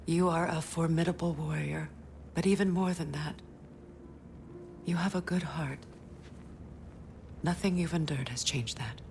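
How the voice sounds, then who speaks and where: A wise, maternal goddess in a game cutscene. Her voice is gravelly and creaky, often dropping to a breathy whisper. A faint bed of ambient noise sits behind her. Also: low-pitched, steady tone, a little slow, pauses often, a little mellow. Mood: proud, tender. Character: wise, tender, grieving warrior goddess, maternal mentor. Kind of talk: video game cutscene acting.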